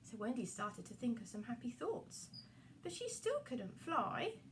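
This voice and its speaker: A woman's voice: on the high side, sing-song, measured pace, far from the mic, noisy background. A woman telling a story to young children in a puppet show, addressing them directly with exaggerated expression.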